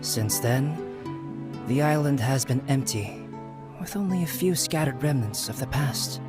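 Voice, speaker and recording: An actor playing a composed, erudite young scholar in a video game, measured, articulate and formal. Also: male voice, medium pitch, music in the background, close to an everyday voice. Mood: explaining, thoughtful. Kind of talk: scripted video game voice acting.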